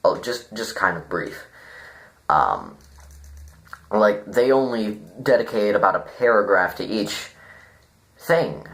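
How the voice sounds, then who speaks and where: A man giving a casual, unscripted review, halting and rambling as he thinks aloud. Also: lowish voice, sing-song, creaky, fairly bright tone. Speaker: online story reviewer.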